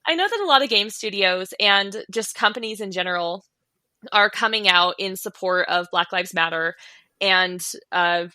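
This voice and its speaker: A woman with a clearly bright, mid-pitched voice co-hosts a casual podcast. She speaks in a chatty, everyday style. The sound is dry and close. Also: normal speed, no room sound. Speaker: podcast co-host.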